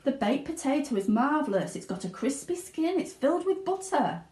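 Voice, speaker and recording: A high, swooping little girl's voice in a storybook, acted by a woman reader. She is gleeful, greedy and boastful, gushing over every mouthful. The room rings around her. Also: moderate pace, roomy sound. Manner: excited, gushing, exclaiming. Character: gleeful, greedy gum-chewing young girl, boastful.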